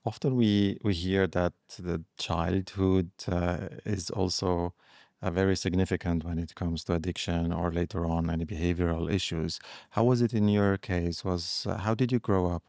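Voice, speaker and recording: A low-pitched man's voice recorded dry and close. He is an interview host who asks careful, slightly halting questions and keeps up quiet murmurs of acknowledgment while his guest talks. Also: steady tone, creaky, no echo. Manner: attentive, frequent murmured acknowledgments. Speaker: podcast host.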